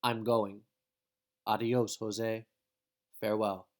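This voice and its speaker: A man's voice: on the low side, level tone, slow pace. A man voicing a passionate, determined young Mexican artist and dancer in a storybook. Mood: resolute.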